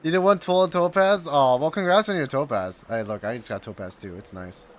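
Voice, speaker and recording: A man's voice: mid-range voice, fairly quick. A man doing casual, informal live reaction commentary on a game and chatting to viewers.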